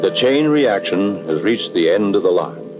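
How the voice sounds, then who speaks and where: Male American narrator, very low and highly modulated, ominous and emphatic, for a vintage cautionary documentary. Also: animated, moderate pace, lo-fi sound. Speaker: narrator of an educational film. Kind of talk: dramatic scripted 1960s documentary narration. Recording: vintage 1960s film soundtrack. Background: dramatic background music.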